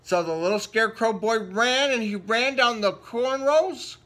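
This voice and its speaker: A man's voice: very high voice, measured pace, fairly bright tone. A man reading a children's storybook aloud, dramatically stretching and repeating words for effect. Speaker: storybook reader. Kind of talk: animated read-aloud for children.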